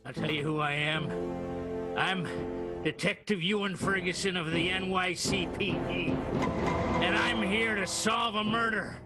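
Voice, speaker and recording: A man's voice: sits high, steady tone, a little slow. A man playing an officious, flustered old police detective in an improvised radio play, stammering and insistent. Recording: loud music under the voice.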